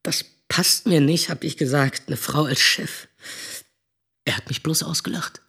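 An actor playing a disillusioned, defiant young man confiding in a clipped, colloquial radio drama monologue, with a husky, breathy voice. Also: a little high, unhurried, pushed and bright, exaggerated voice. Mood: sullen, resigned. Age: young adult.

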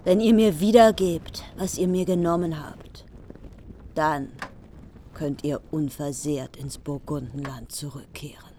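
Cold vengeful queen, hushed intense delivery, slow, radio play. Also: low voice, steady tone, slight background noise. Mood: hushed menace.

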